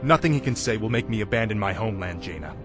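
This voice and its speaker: An actor playing a proud, defiant young prince in a fantasy game cutscene, intense and impatient. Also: male voice, lowish voice, animated, fast, natural voice. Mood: stubborn, impatient. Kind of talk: dramatic video game cutscene acting. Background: loud music.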